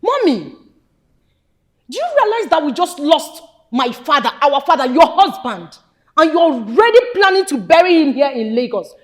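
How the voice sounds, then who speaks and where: Furious young daughter, Nigerian accent, very high voice, lively swings, sharp scolding tone. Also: female voice, moderate pace. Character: angry young woman confronting her family. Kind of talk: film drama acting.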